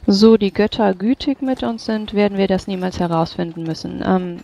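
A game master narrating and speaking in a formal, measured way as a stern official in a tabletop role-playing game. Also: mid-range voice, fairly even intonation, creaky, dark tone. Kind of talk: tabletop role-play narration and in-character dialogue.

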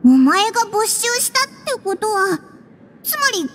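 A woman voicing a tiny, excitable, blunt fairy companion in an anime game, childlike and squeaky. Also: a little slow, faint music behind, full character voice. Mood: excited, greedy. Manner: squeaky, boyish-speaking, animated. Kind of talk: Japanese anime game voice acting.

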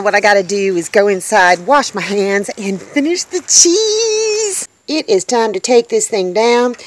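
A woman making a homestead vlog, chatting casually to her viewers as she shows a cooking step by step. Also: mid-range voice. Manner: chatty, upbeat, talks to viewers as folks. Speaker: homestead vlogger. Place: indoors in a small tiny-house kitchen.

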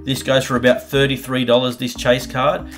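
A man, hosting a casual vlog where he opens trading card packs. His voice is slightly husky from a recent bug, and he talks in an upbeat, chatty way. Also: mid-range voice, level tone, moderate pace, soft background music. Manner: upbeat, hype-building, chatty. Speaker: card-opening YouTuber host. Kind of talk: casual pack-opening vlog. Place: home room with colored lights. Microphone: studio mic on a desk arm.

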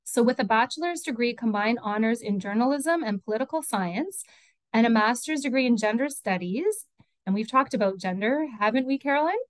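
A woman moderator with a warm, welcoming and slightly halting manner, introducing a speaker on a video call. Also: a little high, lively intonation, no echo, rough recording. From Canada.

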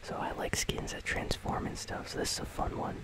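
Hushed ASMR whisperer hosting gaming commentary. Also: a little fast. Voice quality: breathy, unvoiced whisper. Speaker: host of a gaming channel. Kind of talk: ASMR whispered commentary on a game item shop.

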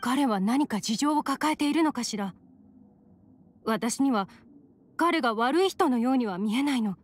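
A woman playing a gentle, caring, anxious mother in an anime game, speaking softly and politely. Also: high-pitched, lively intonation, moderate pace. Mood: pensive. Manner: soft, polite feminine speech.

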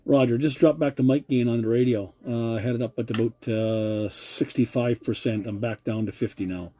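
A man's voice: medium pitch, steady tone, normal speed, dark tone. A man making a CB radio contact from his home radio desk, courteous and using radio procedure phrasing, into a desk mic. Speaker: CB radio operator.

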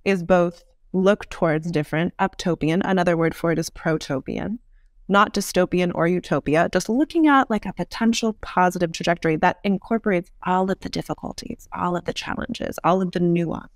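A woman's voice: lowish voice, a little fast, no echo, studio quality. A woman speaking as an interview guest, explaining ideas thoughtfully and repeating for emphasis. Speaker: podcast guest, futurist author.

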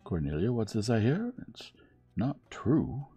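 남자가 연기하는 건방지고 격한 청년. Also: male voice, lowish voice, very animated, measured pace, mellow, breathy edge, soft background music, voice pushed up, full character voice. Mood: furious. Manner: furious, incredulous, venomous. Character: brash, scornful young man, jilted suitor.

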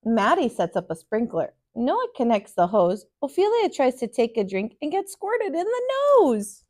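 An American woman in her thirties, a teacher reading a picture book aloud to children in an expressive, sing-song way. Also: fairly high pitch, normal speed. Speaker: kindergarten teacher, storyteller. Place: small furnished room, indoors.

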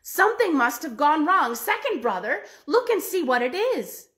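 A woman's voice: high-pitched, big pitch swings, normal speed, fairly bright tone, voice pushed up. A woman voicing a caring eldest brother in a folk tale, speaking in an alarmed, urgent way.

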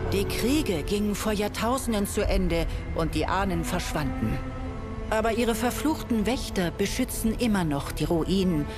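A woman playing a solemn storyteller, narrating ancient legends in a fantasy game with measured, grave drama. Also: medium pitch, lightly acted. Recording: loud music behind the voice. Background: prominent music.

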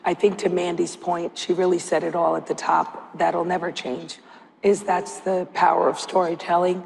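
A woman executive speaks on a panel in an upbeat, corporate tone. Her low voice has a gravelly edge and echoes through a large hall. A faint hum of room noise lies beneath. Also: flat delivery, moderate pace, darkish tone. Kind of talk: panel discussion. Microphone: lapel mic.

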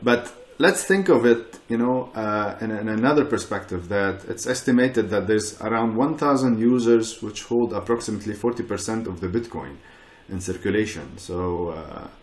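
A middle-aged man talking as a panel guest over a video call from his living room, relaxed and peppered with "you know". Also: fairly low pitch, moderate pace, few pauses, reverberant. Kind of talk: casual panel talk.

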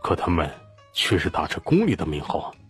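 Man voicing a grave imperial guard, deep voice. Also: wildly swooping pitch, normal speed, husky, soft background music, heavily acted. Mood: somber. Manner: solemn, formal.

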